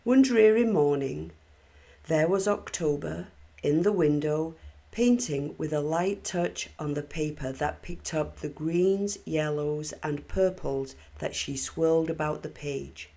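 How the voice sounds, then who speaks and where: A woman reads a children's storybook aloud in a fairly low voice with a creaky edge. She takes her time, phrasing each line deliberately and expressively. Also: dark tone. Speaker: storybook reader.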